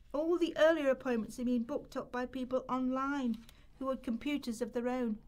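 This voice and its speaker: High-voiced woman playing despairing young woman. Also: lightly acted. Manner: confessional first-person storytelling. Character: Chantal, anxious, despairing young woman on benefits, confiding. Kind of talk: rehearsed radio play monologue. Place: radio play rehearsal.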